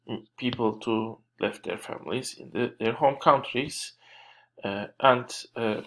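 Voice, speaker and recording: A man from Turkey with a Turkish accent giving an unscripted lecture, speaking haltingly as he searches for words and corrects himself. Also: medium pitch, creaky, fairly bright tone.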